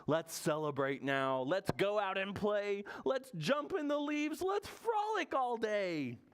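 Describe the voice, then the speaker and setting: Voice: mid-range voice, big pitch swings, takes it slowly, smooth, pitched above the performer's own voice. A storybook reader voicing a kindly, grateful bear who speaks in simple exclamations to friends. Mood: joyful, grateful.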